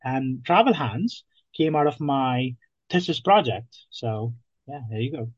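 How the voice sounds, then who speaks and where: A man's voice: mid-range voice, lively intonation, unhurried, slightly rough, no room sound. Hesitant young man from Nepal, dry muffled sound. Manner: hesitant, many ums and restarts. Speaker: guest, app founder. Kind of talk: podcast interview.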